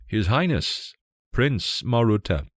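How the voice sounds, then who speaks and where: A man playing a formal court herald in a fantasy storybook, announcing loudly and ceremoniously. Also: lowish voice, very animated, takes it slowly, no echo, voice pushed up, full character voice.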